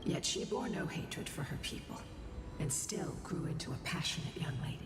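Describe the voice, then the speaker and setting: An ancient female guardian beast from a video game, solemn and wistful. Her breathy voice sits fairly low and swings expressively as she tells old tales gravely. It echoes through a large hall over clear music. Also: animated, far from the mic. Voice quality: breathy, whispery. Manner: grave, measured storytelling. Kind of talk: video game dramatic dialogue. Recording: voice in long echoing reverb, music behind.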